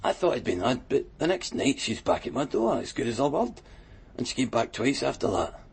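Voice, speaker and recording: An actor playing a chatty, wistful Glasgow tattooist in a radio crime drama, rambling colloquially with a Scottish accent. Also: male voice, mid-range voice, very animated, fairly quick, gravelly, husky, full character voice. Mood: frank, unhurried. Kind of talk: naturalistic radio drama acting.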